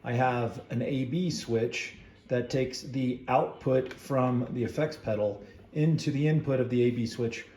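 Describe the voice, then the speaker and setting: A man giving a casual, hesitant hands-on walkthrough of a DIY project, explaining it off the cuff with frequent pauses. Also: medium pitch, dark tone. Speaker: DIY builder and host.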